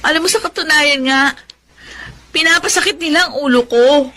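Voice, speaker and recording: A woman's voice: high voice, moderate pace, pushed and bright. A Filipino woman playing a torn, exasperated young sweetheart in a radio drama, reproachful and earnest. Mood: troubled, irritated. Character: exasperated, torn young sweetheart caught between two men.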